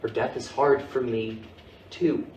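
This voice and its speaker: Distant, echoey actor as a weary, defensive husband. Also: male voice, mid-range voice, steady tone, measured pace, darkish tone, close to an everyday voice. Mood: hurt, subdued. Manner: exasperated, defensive. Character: weary, defensive husband and father, quick to anger. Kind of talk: staged play reading, naturalistic dramatic dialogue. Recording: distant, echoey room with faint noise.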